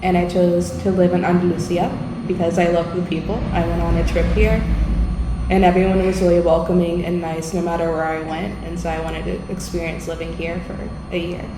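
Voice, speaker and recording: A woman from the United States answers interview questions in an earnest, friendly way. Her voice sits somewhat low. The room is echoey, with clear background noise behind her. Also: level tone, a little fast. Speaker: language assistant. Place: school room.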